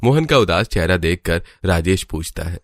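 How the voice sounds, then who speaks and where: A man's voice: sits low, animated, normal speed. A man narrating a scripted family story as its storyteller.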